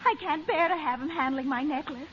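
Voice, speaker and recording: An actress playing an indignant, fretful young daughter in an old radio serial. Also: female voice, high-pitched, fairly quick, muffled recording, lightly acted. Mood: distressed. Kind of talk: 1940s radio serial acting. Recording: noisy, band-limited old radio broadcast.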